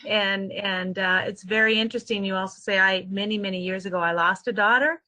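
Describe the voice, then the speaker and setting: A woman's voice: mid-range voice, steady tone, a little fast, pushed and bright. An older woman calling in for a reading, grateful and a little emotional. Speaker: caller getting a reading.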